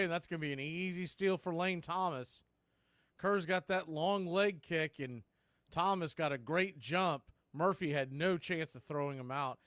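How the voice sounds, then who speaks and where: A man calls a baseball game for a fan radio stream, his fairly high voice smooth and resonant on a close, dry mic. He moves between pitch calls and casual, opinionated asides. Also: fairly bright tone, no echo. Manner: conversational, opinionated fan commentary. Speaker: fan radio play-by-play host. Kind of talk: play-by-play baseball commentary.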